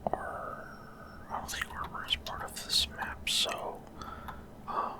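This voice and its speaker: Guy whispering thru a video game for asmr, breathy, kinda rambly and hesitant. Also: slow. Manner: hesitant, rambling explanation in short phrases. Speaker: gaming video creator. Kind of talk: whispered ASMR gameplay commentary.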